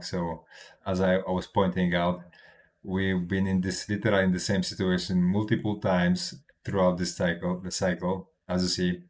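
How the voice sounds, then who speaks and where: Deep-voiced man, non-native English, halting. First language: not English. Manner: halting, with frequent restarts and tag "right?"; chuckles between points. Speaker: crypto trading streamer. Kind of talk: casual livestream chart commentary.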